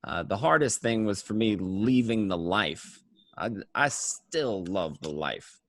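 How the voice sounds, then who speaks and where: A man playing a weary mobster turned informant, telling his story in a conversational, streetwise, halting way. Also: fairly low pitch, lively intonation, no room sound. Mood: wistful, boastful. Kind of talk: first-person crime narration, acted table read.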